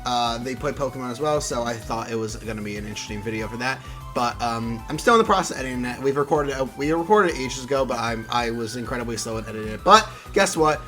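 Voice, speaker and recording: A man's voice: mid-range voice, animated, fast, bright, forceful tone, faint music behind. Young man with a cold, rambling. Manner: rambling with many fillers and restarts. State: has a cold with a sore throat. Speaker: card game YouTuber. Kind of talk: casual deck profile vlog.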